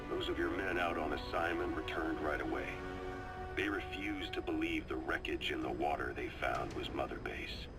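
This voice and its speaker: Calm seasoned military adviser from a video game, low voice, measured confiding briefing, music playing. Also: male voice. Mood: grave, ominous. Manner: measured, confiding, storytelling delivery. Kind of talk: video game voice acting, dramatic briefing monologue.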